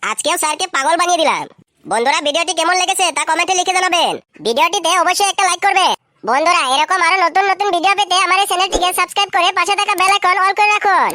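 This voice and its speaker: A performer voicing a cheeky, quick-witted schoolboy prankster with a squeaky, artificially high voice in a comedy cartoon. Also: pushed and bright. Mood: gleeful.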